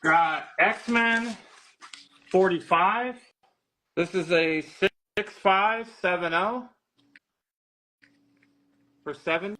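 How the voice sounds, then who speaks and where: A man's voice: sits high, extremely slow, long pauses, bright, forceful tone. A man casually selling comic books on a live stream, quoting grades and prices in an easygoing way and laughing often. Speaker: comic book seller on a live sale. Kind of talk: casual live sales chat.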